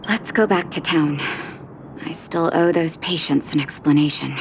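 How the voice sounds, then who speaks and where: An actress playing a calm, resolute doctor in a video game, speaking in a composed, measured and firm way. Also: female voice, sits low, gravelly, a little hiss or hum. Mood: calm resolve. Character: calm, resolute, dutiful woman doctor of the underworld.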